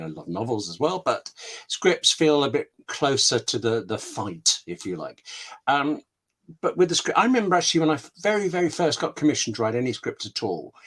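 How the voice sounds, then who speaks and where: A man's voice: mid-range voice, fairly bright tone. A man speaking casually as an interview guest, enthusiastic and often interrupting himself mid-thought. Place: online video call.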